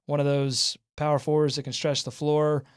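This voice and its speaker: A man hosting a casual sports talk show, bantering with fans and co-hosts in an excitable, animated way. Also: fairly high pitch, moderate pace, smooth. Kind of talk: casual sports fan banter with comments and highlights.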